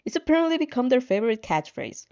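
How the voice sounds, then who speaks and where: A woman's voice: sits high, very animated, fast, vocal fry, a little mellow, dull audio. A woman narrating fan fiction expressively, as an amateur storyteller.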